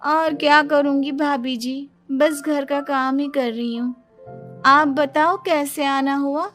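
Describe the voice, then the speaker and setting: Woman playing a high-pitched, self-pitying young daughter-in-law. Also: fairly even intonation, takes it slowly, fairly bright tone, faint music behind. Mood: polite. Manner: whiny, dramatic, aggrieved. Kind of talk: Hindi moral-story cartoon dialogue.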